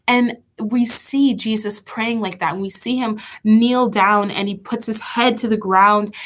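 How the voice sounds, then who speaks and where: A woman's voice: mid-range voice, fairly even intonation, a little slow, a bit bright. A woman leading an online children's church lesson, chatty and encouraging, with a stuffy nose from allergies. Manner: chatty, encouraging, reads out kids' comments.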